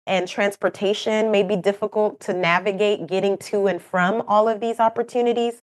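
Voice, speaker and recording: A woman with a Kenyan accent speaks in an earnest, explanatory way, answering as a guest on a podcast. English is not her first language. Her voice sits at an ordinary middle pitch. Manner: earnest, explanatory, with light laughs and asides. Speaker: program manager, guest expert.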